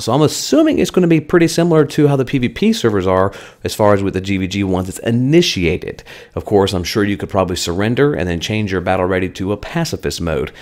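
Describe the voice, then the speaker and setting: Low-voiced, chatty man, slightly hoarse. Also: a little mellow. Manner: chatty, conversational. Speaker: gaming channel host. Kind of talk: weekly game news update.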